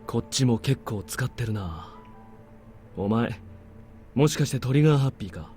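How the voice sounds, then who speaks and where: An actor playing a cool, commanding officer in a Japanese visual novel, curt, composed and dryly sardonic. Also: male voice, medium pitch, animated, fast, close to an everyday voice. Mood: curt, cold. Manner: curt, composed, quietly menacing. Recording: game music under the voice.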